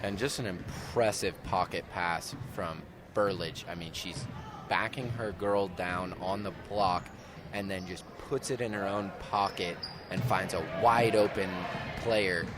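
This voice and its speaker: A man provides conversational color commentary during a live basketball game. His voice is on the low side. Clear gym noise and bouncing balls are heard behind him. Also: fairly even intonation, a little slow. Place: indoor school gymnasium during a game.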